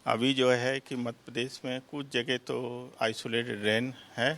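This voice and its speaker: Male meteorologist interviewee, Indian accent, strong vocal fry, halting technical explanation. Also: medium pitch, flat delivery, fairly bright tone. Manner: halting explanation, mixing in English technical terms. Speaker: weather scientist being interviewed.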